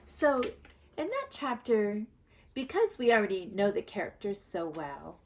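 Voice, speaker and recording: A woman teacher reading aloud to young children and explaining her thinking in a deliberate way. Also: medium pitch, wildly swooping pitch, darkish tone. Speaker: primary school reading teacher.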